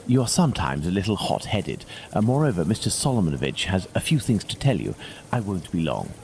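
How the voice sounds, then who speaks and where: A man acting a cool, imperious English gentleman detective in a video game, with a British accent and a measured, commanding, formal delivery. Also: low voice, very animated, creaky, a little hiss or hum, full character voice. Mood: brisk, composed.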